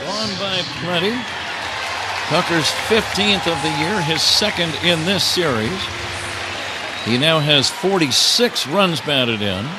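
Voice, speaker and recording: A man's voice: a little high, lively intonation, slow, a loud crowd. An American man calling a baseball game live as a radio play-by-play announcer. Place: broadcast booth at a ballpark. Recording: noisy live broadcast with outdoor ballpark ambience. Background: clear outdoor stadium noise.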